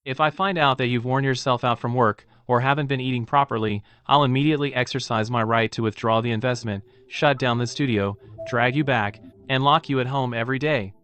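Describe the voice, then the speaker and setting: A man's voice: medium pitch, steady tone, fairly quick, few pauses, a bit bright, clear, resonant tone, dry recording, natural voice. A man playing a protective, bossy company president in a romance audio drama, speaking firmly. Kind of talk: dubbed romance drama acting.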